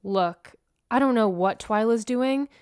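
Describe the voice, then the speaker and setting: A woman's voice: a little high, takes it slowly, a little mellow, clear, resonant tone, no echo. Lady doing a grounded teen girl, sulky and unsure, dry close sound. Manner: sulky, hesitant. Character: grounded teenage farm girl, sulky and uneasy.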